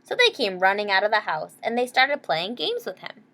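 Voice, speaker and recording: A woman reading a children's picture book aloud expressively, pausing to explain words and ask young listeners questions. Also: medium pitch, wildly swooping pitch, pushed and bright. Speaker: read-aloud storyteller. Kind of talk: expressive children's story narration.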